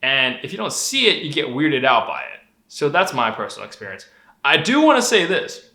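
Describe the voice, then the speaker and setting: A man's voice: medium pitch, normal speed. A young man who grew up in Colorado, talking candidly and with plenty of profanity in a casual vlog monologue. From Denver, Colorado. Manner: candid, profane, animated storytelling. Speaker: vlogger. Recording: echoey room.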